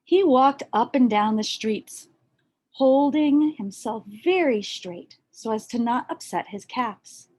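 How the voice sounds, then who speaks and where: A woman narrates a children's storybook in a fairly high voice. She takes her time, reading very slowly with dramatic pauses and expressive emphasis on key words. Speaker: storybook reader.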